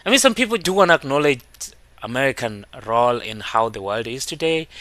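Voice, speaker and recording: A man from Kenya hosting a casual reaction video. He talks in an animated way and breaks into laughter when he makes a point. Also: medium pitch, dry recording.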